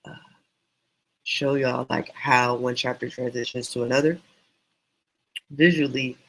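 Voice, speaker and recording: A man teaching writing in a casual, meandering, encouraging way, his voice going hoarse. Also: medium pitch, steady tone, a little slow, fairly bright tone, slight background noise, rough recording. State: losing voice, hoarse. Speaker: self-published author teaching writing.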